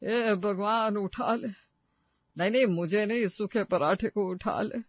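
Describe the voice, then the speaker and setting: Man acting high-voiced grumbling elderly father. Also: measured pace, dry recording, highs cut off. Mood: theatrical grumbling. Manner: theatrical, sarcastic complaining. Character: grumbling elderly father who loves rich food. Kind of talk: Hindi audio story dramatization.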